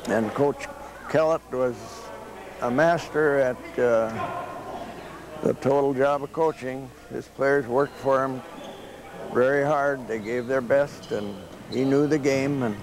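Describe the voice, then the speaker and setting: An older man being interviewed, recalling the past in a deliberate way. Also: mid-range voice, steady tone. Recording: crowd chatter behind.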